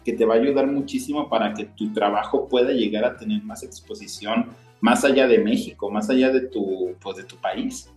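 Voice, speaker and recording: A man hosting a podcast interview, chatting casually with quick asides. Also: on the low side, darkish tone. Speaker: podcast host. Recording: roomy echo with faint music.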